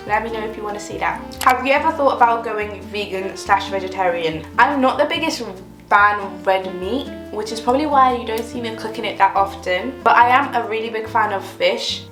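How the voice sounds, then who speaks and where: A woman's voice: fairly high pitch, roomy sound. A woman in her twenties chatting casually and upbeat while answering viewer questions in her kitchen. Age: 20s. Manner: chatty and upbeat, with light laughs. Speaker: vlogger. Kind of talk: casual Q&A chat.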